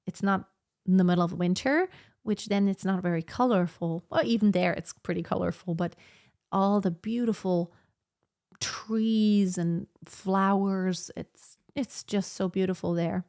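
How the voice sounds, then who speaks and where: A woman's voice: medium pitch, a little slow, darkish tone. A woman in her thirties, a vocal coach hosting a live Q&A, chatting loosely and rambling with lots of fillers and restarts as she reads out viewer comments. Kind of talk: casual live-stream chat reading viewer comments. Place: home in southern Germany.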